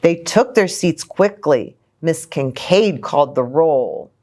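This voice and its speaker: A woman hosting a children's story time, reading a picture book in an expressive, sing-song way and speaking to young children. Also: deep voice, slow. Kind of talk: animated children's picture-book read-aloud.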